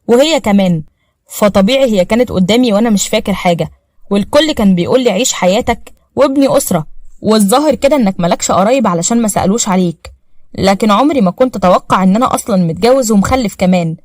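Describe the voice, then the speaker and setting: A woman's voice: mid-range voice, very fast, a little mellow, dry recording, studio quality, close to an everyday voice. A woman with an Egyptian accent voicing a confused young husband who has lost his memory, hesitant and apologetic. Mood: troubled. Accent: Egyptian Arabic.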